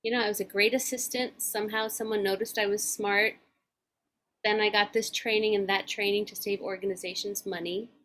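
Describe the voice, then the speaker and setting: A woman in her forties co-hosting a casual podcast. Her voice sits at a middle pitch, and she speaks earnestly, building her thoughts in a measured, reflective way. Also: flat delivery, a bit bright. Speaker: podcast co-host. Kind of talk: casual chat.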